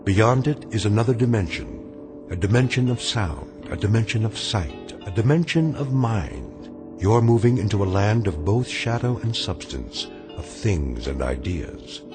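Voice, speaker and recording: An actor as a grave, mysterious narrator, delivering a scripted radio drama introduction in a measured, portentous way. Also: male voice, lowish voice, sing-song. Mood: ominous, measured. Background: music.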